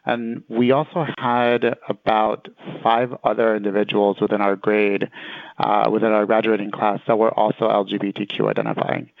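A man answers interview questions in a conversational but halting manner. His mid-pitched voice has plenty of creak and fry. It comes through a thin, dry, telephone-like connection. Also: no room sound. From El Salvador until nine, then Connecticut, US. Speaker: community center director, interview guest. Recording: narrow phone-like line.

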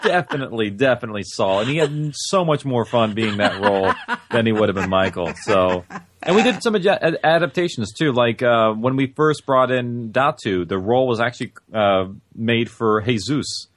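A man's voice: mid-range voice, moderate pace, a little hiss or hum. A man being interviewed on a podcast, talking casually in a loose, rambling way with many fillers. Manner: relaxed, rambling, heavy use of "like". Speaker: podcast guest, audio drama creator.

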